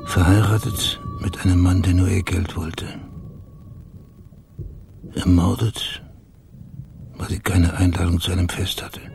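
An actor playing a bitter, sardonic private detective in a German radio crime drama, pressing for answers. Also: male voice, sits low, little pitch movement, measured pace, creaky, long pauses, noisy background. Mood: subdued, bitter. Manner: sardonic, confrontational. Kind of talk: German radio play acting.

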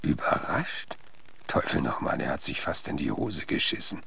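A man voicing the crude, scornful inner thoughts of a gruff character in a radio drama, gravelly and husky. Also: rumbling low, very animated, moderate pace, pushed and bright, heavily acted. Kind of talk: German radio drama acting.

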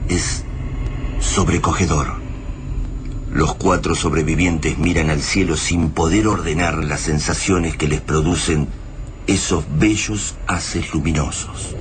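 An Argentine actor serving as solemn narrator of a radio drama, reading scripted lines with dramatic pauses. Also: male voice, extremely low pitch, fairly even intonation. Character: solemn male narrator of a post-invasion war tale. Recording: clearly noisy radio drama ambience.

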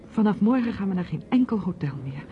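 A woman's voice: mid-range voice, animated, fast talker, a little hiss or hum, close to an everyday voice. A woman playing a reserved, dutiful young niece in a radio drama, speaking tersely, politely and with some hesitation. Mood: subdued. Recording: dull old radio recording.

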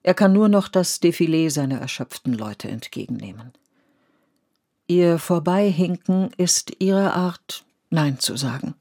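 A woman narrating a scripted literary radio play in measured, poetic storytelling. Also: low voice, lively intonation, warm tone. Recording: studio radio play with music underneath.

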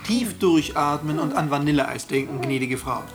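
Male first-aider played by an actor, patronising and calm, self-important, over music. Radio comedy drama. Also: on the high side, moderate pace, lightly acted. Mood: soothing, pompous. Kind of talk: radio play dialogue.

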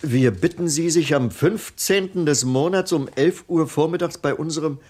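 An actor playing a modest, wryly resigned middle-aged security man, halting and reserved, in a German radio crime comedy. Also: a little high, darkish tone, a little hiss or hum, natural voice. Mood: weary, resigned. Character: ageing security man, modest, bitter after being sacked.

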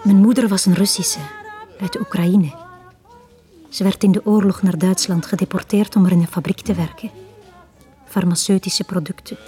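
Actress as wistful Flemish woman, mellow and confiding. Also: medium pitch, fairly even intonation, normal speed, long pauses, faint music behind, close to an everyday voice. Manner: intimate, confiding, often dropping to near whisper. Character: wistful bar woman, war orphan confiding her past. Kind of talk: 1980s Dutch-language radio drama acting.

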